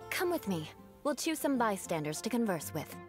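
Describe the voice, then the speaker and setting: An actress as a proud, lively noblewoman knight. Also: mid-range voice, normal speed, music in the background, natural voice. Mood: composed, lecturing. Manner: formal, haughty, precise diction. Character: proud young aristocratic woman knight, aloof and formal. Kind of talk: scripted video game voice acting.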